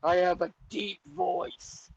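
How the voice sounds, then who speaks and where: A man doing a squeaky mock girl voice. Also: sing-song, takes it slowly, gravelly, husky, no room sound, full character voice. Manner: joking falsetto mockery. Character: wrestler's daughter, put-on squeaky girl voice. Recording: dull lo-fi podcast audio.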